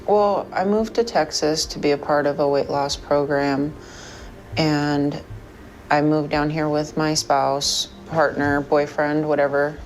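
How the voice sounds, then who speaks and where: A woman's voice: deep voice, steady tone, unhurried, soft background music, rough recording. An American woman talking about personal matters as a patient in a counseling session, with hesitant phrasing. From Portland, Oregon, United States. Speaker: therapy patient. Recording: clear background room noise.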